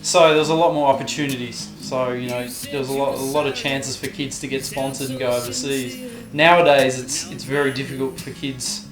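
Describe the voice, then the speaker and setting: A man's voice: medium pitch, fairly even intonation, brisk, few pauses. Aussie guy chatting in an interview, laid-back wording, echoey room, guitar playing behind him. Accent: Australian. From Tasmania, Australia. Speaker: professional vert skater, interviewee. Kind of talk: casual reflective interview talk. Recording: roomy echo, guitar music behind. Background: guitar music.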